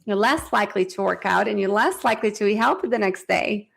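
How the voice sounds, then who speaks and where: A woman who hosts a health podcast, her voice carrying a gravelly fry. She puts her questions to the guest in a measured way. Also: medium pitch. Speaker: podcast host. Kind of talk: interview questions and comments.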